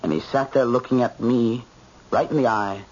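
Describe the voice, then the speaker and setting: Brooding young American son played by an actor. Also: male voice, medium pitch, moderate pace, darkish tone, lightly acted. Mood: shaken, insistent. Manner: intense, insistent. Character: shaken, brooding grown son. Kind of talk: old-time radio drama acting. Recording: muffled, faintly noisy old radio recording.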